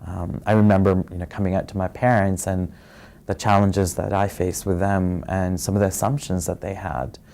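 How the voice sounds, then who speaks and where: A man from Fiji telling his own story in a soft, reflective way, pausing often with fillers. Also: low voice, flat delivery, gravelly. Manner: soft-spoken and reflective, many pauses and fillers. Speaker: interviewee sharing his story. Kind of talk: personal testimony.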